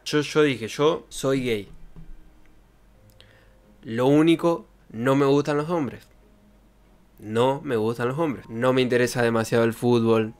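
A young man with an Argentine accent, a streamer rambling in casual, jokey slang close to a desk microphone. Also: medium pitch. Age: young adult. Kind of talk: casual livestream chat. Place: small bedroom streaming setup. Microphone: desk microphone with pop filter, close.

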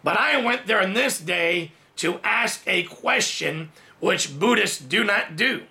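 A man in his forties, raised in Thailand, preaching a sermon in his study and telling a personal testimony with lively hand gestures, recorded on a camera at desk distance. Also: sits high, slow, gravelly. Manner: animated storytelling with big hand gestures. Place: home study with bookshelves, indoors.

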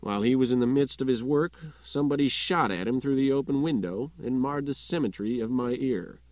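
A man's voice: medium pitch, a little fast, darkish tone, no room sound, highs cut off. A man reading a literary short story as an audiobook narrator, in scripted narration.

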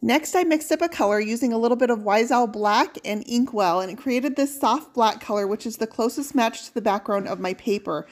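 A woman giving a steady, step-by-step instructional voice-over on painting furniture, upbeat about the products she uses. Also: fairly high pitch, a little fast, few pauses. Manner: steady, explanatory step-by-step walkthrough. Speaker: furniture painting channel host.

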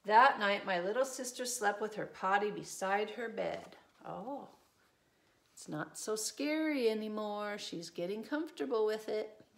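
A middle-aged woman reading a children's storybook aloud, adding gentle, reassuring asides in simple phrases for young children. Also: mid-range voice, expressive, measured pace, creaky. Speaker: preschool teacher reading a picture book aloud. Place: small indoor room with desk and shelves.

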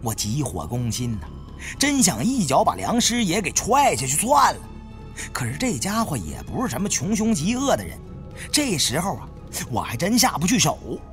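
A man narrating an adventure story in a dramatic, expressive storytelling manner. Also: on the high side, moderate pace, soft background music. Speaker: audiobook narrator.

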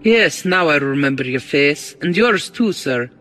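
A man's voice: fairly high pitch, expressive, a little slow, bright, forceful tone, soft background music. A man voicing a cautious, grizzled Scottish police detective in an audiobook, with a Scottish accent and a dry, guarded manner. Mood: cautious, civil.

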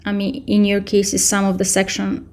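A woman in her thirties giving a casual screen-share software tutorial indoors at her computer, explaining step by step with frequent fillers. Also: medium pitch, completely flat delivery, moderate pace. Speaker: tutorial presenter.

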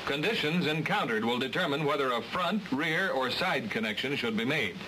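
A man's voice: medium pitch, lively intonation, normal speed. A man giving scripted, matter-of-fact instructional narration for a training film. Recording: hissy old film transfer.

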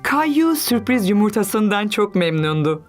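A woman voicing a gentle storybook narrator for a children's cartoon, reading scripted narration. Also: medium pitch, very animated, a little slow, faint music behind. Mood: gentle, bright storytelling.

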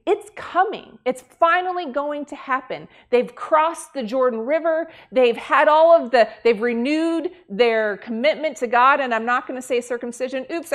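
Lively woman preaching in a church. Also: a little high. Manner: lively storytelling, acts out the characters' lines. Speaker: pastor. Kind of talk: conversational sermon. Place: church sanctuary, indoors.

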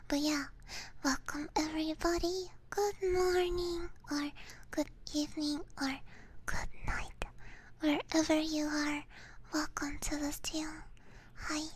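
A woman hosting a live ASMR stream, chatting casually in a soft, mostly whispered voice close to the microphone. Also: high voice, little pitch movement, slow pace. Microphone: close binaural ASMR microphone.

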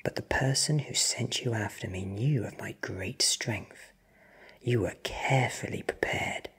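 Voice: mid-range voice, fairly bright tone, husky, full character voice. A narrator as a cold, whispery ancient vampire. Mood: cold menace. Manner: cold, deliberate, threatening. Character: ancient vampire, cold, menacing, commanding, all-powerful.

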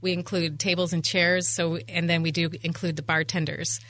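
Bright-voiced middle-aged woman, chatty interview guest. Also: lowish voice, level tone, normal speed, a little hiss or hum. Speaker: interview guest, event venue owner. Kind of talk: chatty radio interview answers. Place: radio studio. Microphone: studio microphone on boom arm.

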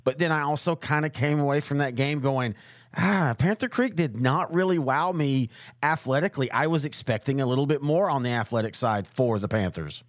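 A man's voice: mid-range voice, fairly quick, dry recording, clean studio sound. A man co-hosting a sports show, casually breaking down games with emphatic repetition. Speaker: sports show co-host and analyst.

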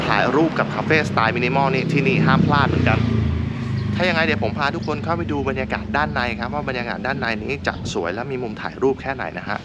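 A man's voice: medium pitch, heavy background noise. A man hosting a travel vlog, casually walking viewers through a cafe and talking to them directly. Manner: chatty, polite, addresses viewers directly. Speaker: vlog host touring a cafe. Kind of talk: casual walkthrough narration. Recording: loud background music.

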